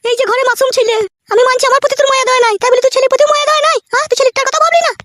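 A woman's voice: very high-pitched, fairly even intonation, racing, very noisy. A woman voicing a betrayed, scolding village wife in a comedy cartoon, with a rural West Bengal accent, ranting and wailing. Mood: hurt, indignant. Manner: nagging, cursing, wailing rants. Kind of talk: comedy cartoon dub, melodramatic quarrelling.

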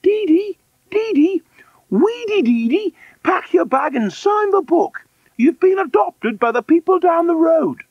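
A man voicing an eager, gushing orphanage matron in a children's storybook, calling out briskly. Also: extremely high pitch, wildly swooping pitch, breathy edge, no room sound, dull audio, pitched above the performer's own voice, heavily acted.

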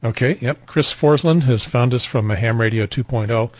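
An American man in his sixties hosting a radio net in casual chat, rambling and halting, on a close mic in a small home room. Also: mid-range voice, no echo. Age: 60s. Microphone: close boom studio mic, headset on.

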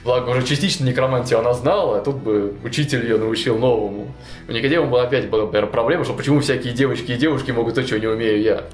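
A man's voice: mid-range voice, rapid-fire. A young man in a suit jacket casually telling a long story, full of fillers, seated in a studio. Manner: animated, many fillers like "вот" and "ну". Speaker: storyteller guest. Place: studio with chalkboard wall. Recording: roomy studio with faint music.

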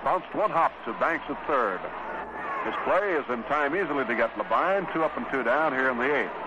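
An American man calling baseball play-by-play in 1950s radio style, in terse, unhurried phrases between pitches. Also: lively intonation. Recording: noisy, dull 1950s radio broadcast.

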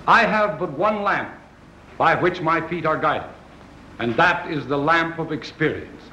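A man's voice: fairly high pitch, a little slow, sharp tone, slight background noise. An actor playing a solemn, impassioned colonial statesman in a formal, declamatory 1930s film style. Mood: grave. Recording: dull 1930s film soundtrack, roomy.

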